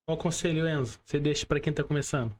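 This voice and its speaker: High-pitched Brazilian man hosting a podcast. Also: fast talker, a little mellow. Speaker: podcast host. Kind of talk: casual online interview hosting. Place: remote video call.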